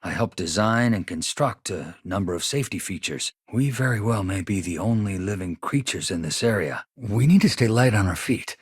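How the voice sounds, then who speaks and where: An actor playing an earnest, anxious spaceship engineer in a video game, with a husky voice and a hesitant manner. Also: male voice, on the low side, animated, moderate pace, hardly any pauses, dry recording, studio quality. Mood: wary. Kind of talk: video game character dialogue.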